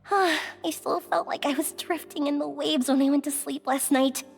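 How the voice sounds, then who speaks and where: A woman playing a bubbly, energetic, cheerful young woman in a video game. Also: high voice, unhurried, gravelly, soft background music. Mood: upbeat.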